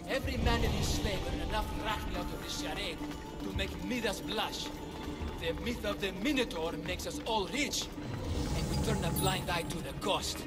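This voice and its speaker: A man's voice: high-pitched, close to an everyday voice. A man playing an anxious, melodramatic father, a silver-tongued swindler in a video game, with theatrical, faltering speech. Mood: bitter. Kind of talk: dramatic video game dialogue. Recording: long cavernous reverb, music behind.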